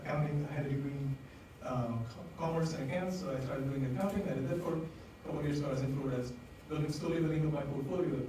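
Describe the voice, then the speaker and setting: A man's voice: a little high, fast, hardly any pauses, warm tone. A man with an Indian accent telling personal stories to an audience in a conversational, candid way, with many fillers. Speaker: speaker at a design event. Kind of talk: storytelling talk to an audience. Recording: echoey room, distant mic, ambient noise.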